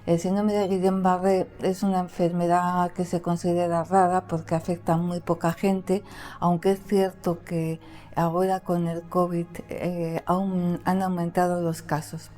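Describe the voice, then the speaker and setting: A woman guest who cannot pronounce the letter R, telling her story plainly with many pauses and fillers. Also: lowish voice, little pitch movement, dark tone, faint music behind. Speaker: interview guest. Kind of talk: conversational storytelling.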